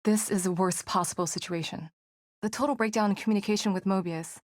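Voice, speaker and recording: A woman's voice: medium pitch, steady tone, fast talker, dry recording, clean studio sound, natural voice. An actress playing an earnest female team psychologist in a video game, speaking in an articulate, analytical way. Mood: composed, serious.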